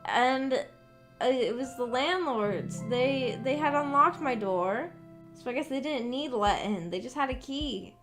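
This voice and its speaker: Casting: female livestreamer, high voice, casual rambling chat with a self-conscious edge, music playing behind. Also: measured pace. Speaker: livestream host. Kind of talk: casual chat with stream viewers. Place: at home in her room. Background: background music.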